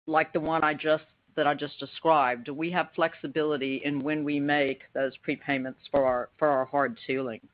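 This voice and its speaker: Flat-toned woman finance executive. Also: low voice. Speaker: finance executive on an earnings call. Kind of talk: formal financial explanation. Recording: dull band-limited conference call.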